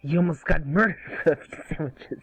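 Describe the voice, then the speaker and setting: A cocky, stubborn young cartoon boy hero, read by a man in a fairly high voice. The sound is dry and close, from an amateur table read with music underneath. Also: brisk, a bit bright, breathy edge, dry recording, poor audio. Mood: incredulous.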